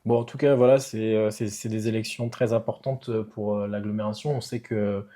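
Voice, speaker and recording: A man interviewing a local candidate, asking halting questions full of fillers. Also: fairly low pitch, fairly even intonation, dark tone.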